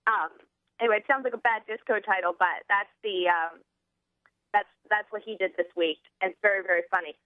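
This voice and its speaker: A woman's voice: mid-range voice, a little fast, no echo. An American woman in her thirties co-hosting political talk radio in an informal, chatty, conversational way. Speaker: radio co-host. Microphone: telephone. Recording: narrow-band telephone line on radio.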